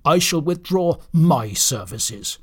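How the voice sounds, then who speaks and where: A man voicing a stubborn, gruff reindeer in a storybook, blunt and huffy in manner. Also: a little high, big pitch swings, slow pace, dry recording, clean studio sound, heavily acted. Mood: affronted. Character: gruff, stubborn male reindeer who must go first.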